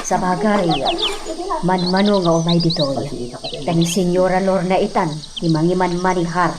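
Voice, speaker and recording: A woman's voice: fairly low pitch, level tone, normal speed, background noise, natural voice. A woman acting a bossy, cautious worker in a radio drama, with an Ilocano Filipino accent. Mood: nagging, wary. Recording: very loud bird and cricket ambience.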